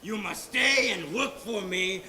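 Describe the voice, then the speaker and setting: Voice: mid-range voice, measured pace, bright, forceful tone, big-room sound, poor audio. A performer playing a menacing, taunting wizard villain in a theatrical storytelling performance. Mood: threatening. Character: menacing gloating wizard, grunting and horribly laughing villain. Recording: live stage contest with faint noise.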